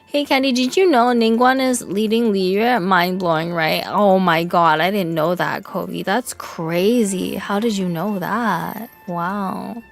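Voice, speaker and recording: A young woman streaming a game, chatting casually with her chat, swearing and yelling in excitement now and then. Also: medium pitch, takes it slowly, vocal fry, hardly any pauses, faint music behind. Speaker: VTuber streamer. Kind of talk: casual gaming livestream chat.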